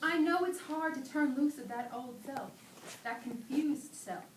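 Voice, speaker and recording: A woman's voice: high-pitched, a little slow. A woman giving an earnest dramatic staged reading of a sermon, drawing out key phrases for emphasis. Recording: echoey distant hall, faint background noise.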